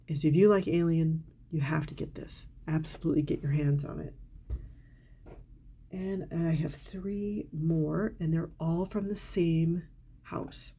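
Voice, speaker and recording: A woman's voice: sits low, gravelly, mellow. A middle-aged woman, congested from a cold, chatting candidly and enthusiastically as she reviews a haul of perfumes for her channel. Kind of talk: casual product haul talk to camera.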